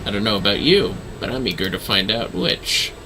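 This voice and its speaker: A man voicing a calm, ominous dark knight mentor from a video game, in a measured, dryly formal and theatrical way. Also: on the low side, slight background noise, pitched below the performer's own voice, low-quality recording. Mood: wry, calculating. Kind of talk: video game dialogue read aloud.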